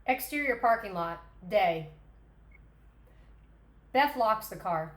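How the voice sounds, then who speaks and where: A woman's voice: medium pitch, expressive, takes it slowly. A woman reading stage directions as the narrator of a screenplay table read. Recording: echoey room, background noise.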